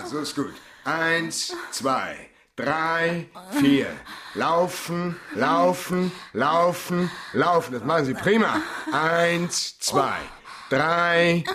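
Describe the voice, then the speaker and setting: An actor playing a hard-boiled, commanding private detective in a German radio crime drama, speaking in clipped, wry phrases. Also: male voice, a little high, a bit bright, full character voice. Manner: clipped short commands and questions.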